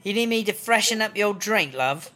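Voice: medium pitch, expressive, moderate pace, bright, forceful tone, slight background noise. A performer playing a weary, wry British woman inmate in a comedy audio drama, speaking colloquially and a little hesitantly. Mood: brisk, friendly. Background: music.

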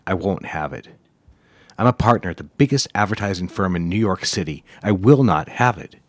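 An actor playing a cold, stern advertising executive in an audio drama, curt, formal and condescending. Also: male voice, low-pitched, animated, normal speed, no room sound. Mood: cold, scornful.

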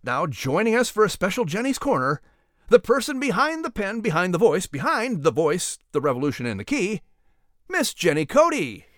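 Male narrator for a story podcast: fairly high voice, very expressive range, dry close sound. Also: normal speed, no room sound. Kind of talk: animated scripted storytelling.

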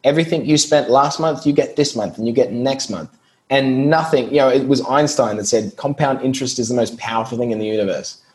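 An Australian man, a guest on a podcast, talking through his business in a relaxed, explanatory way and laughing easily. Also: mid-range voice, a little fast. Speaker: guest, SEO business owner. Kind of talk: casual podcast interview.

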